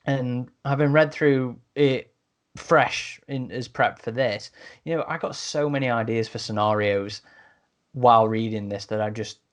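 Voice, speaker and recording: A man's voice: medium pitch, measured pace. A man hosting a podcast, talking through a review in a casual, enthusiastic and conversational way. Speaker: podcast host.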